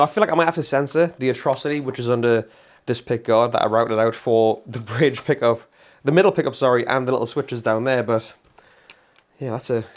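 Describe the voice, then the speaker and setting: An eager guitar host with a worn, tired voice, chatting casually to his viewers. He talks at a mid pitch, laughs easily and keeps an excited tone throughout. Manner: enthusiastic, chatty, laughs often. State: losing his voice. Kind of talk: casual demo talk to camera.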